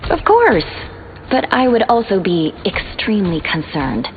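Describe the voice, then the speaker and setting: In a muffled hall with noise behind, an affectionate superhero wife from a video game. Her delivery is lively, dry and teasing. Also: medium pitch, unhurried. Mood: wry, fond. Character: loving, teasing superhero wife, wryly concerned. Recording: muffled, reverberant, noisy game ambience.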